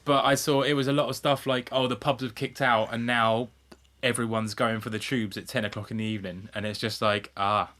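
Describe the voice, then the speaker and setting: Podcast co-host, southern English male, bright punchy voice, blunt and sweary, matey banter. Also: mid-range voice, fairly even intonation, a little fast. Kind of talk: casual comedic podcast chat.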